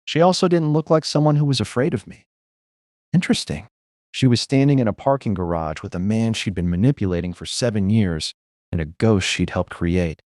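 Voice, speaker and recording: Guy narrating a noir audio story in first person, deep-ish voice, very dry recording. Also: expressive, a little fast, darkish tone, no room sound.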